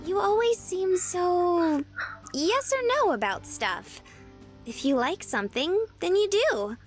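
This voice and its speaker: Super high cutesy young woman, visual novel girl, bubbly and earnest, really bouncy intonation. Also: very animated, slow pace, soft background music, full character voice. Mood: puzzled, earnest.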